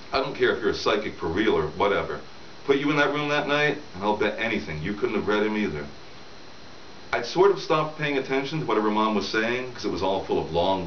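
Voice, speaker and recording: A middle-aged man reading his novel aloud in a conversational storytelling way, as the author, in a room at home. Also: on the low side. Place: small room at home, indoors. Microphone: camera several feet away. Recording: roomy, distant camera mic, dull with hiss.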